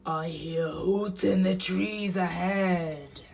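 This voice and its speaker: Lady doing a big dopey monster guy from a picture book, slow and emphatic. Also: fairly low pitch, fairly even intonation, creaky, a little hiss or hum. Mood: wary. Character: big, slow-witted, trusting male storybook monster.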